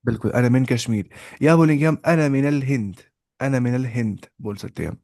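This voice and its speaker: A man teaching an online language class over a video call. He explains patiently, repeats points, and slowly sounds out each example phrase. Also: medium pitch, darkish tone, dry recording, clean studio sound. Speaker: Arabic teacher.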